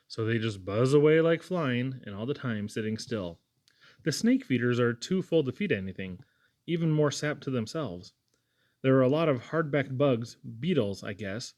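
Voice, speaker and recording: A man's voice: medium pitch, a little mellow, natural voice. A man voicing an earnest young Irish lad who tells of things in a folksy, wonder-struck, wordy way.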